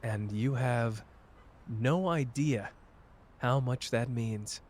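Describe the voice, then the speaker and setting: A man playing a cocky but wounded young sorcerer in an intimate roleplay audio drama, with halting, emotional delivery. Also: mid-range voice, a little mellow. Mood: tender, earnest.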